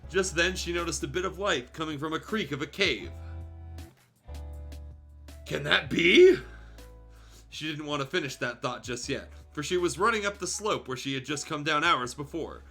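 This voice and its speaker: A man's voice: fairly high pitch, normal speed, creaky, long pauses, pushed and bright, breathy edge, soft background music. A man reading fan fiction aloud as a streamer, in a theatrical, irreverent storytelling style with joking asides.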